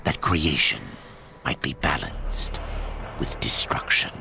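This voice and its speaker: An actor playing a solemn, ominous narrator of an ancient legend in a video game, gravelly and breathy at phrase ends. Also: male voice, rumbling low, expressive, takes it slowly, a little hiss or hum, heavily acted. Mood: solemn, foreboding. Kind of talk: scripted dramatic game narration. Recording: loud music behind the voice.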